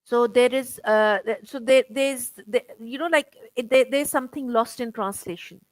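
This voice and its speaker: Middle-aged lady chatting on a video call, kinda high voice, stops and restarts a lot, dry sound. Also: no room sound. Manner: chatty, halting, full of "you know" and repeats. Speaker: interviewer. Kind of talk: casual conversational interview.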